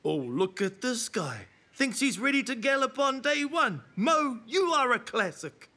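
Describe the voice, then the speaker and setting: A cool wild horse, smug and theatrical. The voice is high for a male and bright, laid-back in manner yet lively in its rise and fall. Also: a little slow, heavily acted. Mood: impressed, smug. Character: cool, smug, self-styled wild stallion. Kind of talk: preschool cartoon voice acting.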